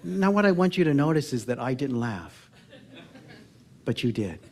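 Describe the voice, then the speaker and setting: A man in his sixties with an American accent speaks as a public speaker on stage. His pitch is middling. He tells personal stories in an earnest, reflective way, leaving pauses and softening the ends of his phrases. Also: big pitch swings. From Oakland, California, United States. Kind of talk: personal storytelling talk on stage. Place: theatre stage before a seated audience.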